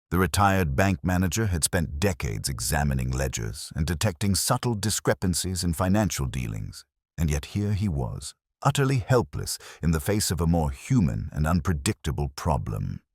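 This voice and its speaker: A man narrating a scripted mystery story in a measured, literary storytelling manner. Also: sits low, a little mellow, husky, no echo, clean studio sound. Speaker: audiobook narrator.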